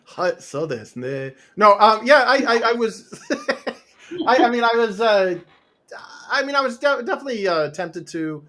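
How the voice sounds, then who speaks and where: A man's voice: sits high, big pitch swings, measured pace, pauses often, fairly bright tone. A man in his forties or fifties, a comic author talking as an interview guest in loose, rambling chat full of fillers, restarts and laughs, on a home podcast mic with a pop filter. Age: 40s to 50s.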